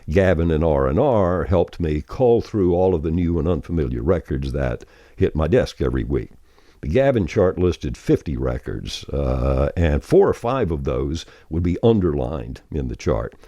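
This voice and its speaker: An older man speaking as a veteran radio host, explaining his trade in a measured, conversational monologue with anecdotes. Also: very deep voice, dark tone, dry recording, studio quality.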